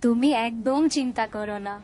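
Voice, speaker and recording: A woman's voice: on the high side, fairly even intonation, moderate pace, darkish tone, close to an everyday voice. A woman voicing a caring, earnest young village woman in a Bengali cartoon.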